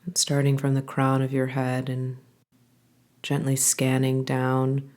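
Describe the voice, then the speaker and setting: A woman guiding a body scan meditation, giving calm, gentle instructions in an unhurried way with pauses. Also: very deep voice. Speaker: meditation guide.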